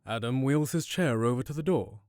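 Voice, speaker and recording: A man narrating a scripted fantasy audio drama in a measured, dramatic storyteller manner. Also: mid-range voice, a little mellow, clear, resonant tone. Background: music.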